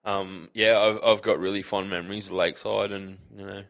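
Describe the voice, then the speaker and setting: Low-voiced Australian man chatting casually. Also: brisk, vocal fry. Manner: relaxed, rambling with many fillers. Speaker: podcast guest. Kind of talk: casual chat.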